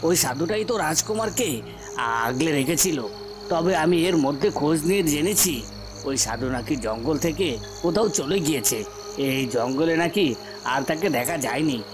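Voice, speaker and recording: A man's voice: on the high side, animated, normal speed, over music, natural voice. A man voicing a scheming usurper villain in a fairy tale cartoon, commanding and self-assured. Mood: scheming, confident. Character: scheming usurper villain plotting against the prince.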